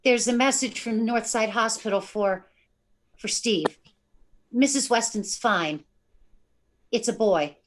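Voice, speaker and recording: A woman's voice: a little high, slow pace, long pauses. A woman playing a dutiful control-room secretary in a radio drama, businesslike and deferential. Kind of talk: live radio drama acting.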